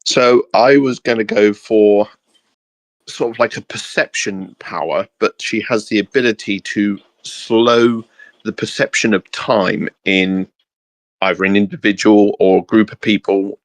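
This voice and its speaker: A man with a fairly low voice talks casually as a co-host on a geek discussion. His recording is dry, with little sense of a room. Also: steady tone, measured pace, no echo. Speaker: channel co-host.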